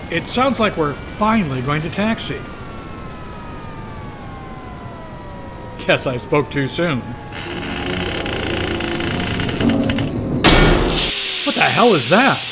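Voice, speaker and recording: An actor as a high-voiced, wry male reporter. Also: expressive, measured pace, background noise. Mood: uneasy, sarcastic. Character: inquisitive skeptical male undercover newspaper reporter. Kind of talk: radio drama acting.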